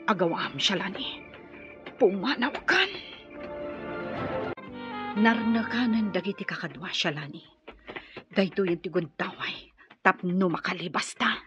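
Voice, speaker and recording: A woman's voice: medium pitch, measured pace, long pauses, muffled recording, over music, lightly acted. A woman with a Filipino accent playing an urgent, protective, commanding older-sister rebel fighter in a radio drama. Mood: tense, hushed urgency.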